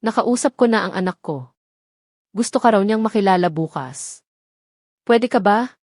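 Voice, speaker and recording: A woman's voice: medium pitch, lively intonation, normal speed, darkish tone, no room sound, studio quality, natural voice. A woman voicing a kindly, insistent elderly provincial mother in an audio drama, with a Filipino accent. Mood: warm, pleased.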